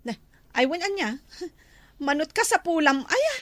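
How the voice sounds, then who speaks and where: A woman's voice: high-pitched, big pitch swings, unhurried, fairly bright tone, no room sound, heavily acted. A woman acting a gossipy, teasing village friend in an Ilocano comedy drama, with an Ilocano Filipino accent.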